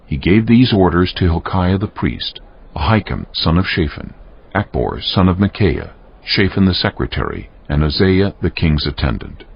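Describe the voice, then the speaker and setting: A very deep, gravelly male narrator reads scripture with solemn deliberation. His intonation moves widely, on a slightly muffled recording. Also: sing-song, moderate pace.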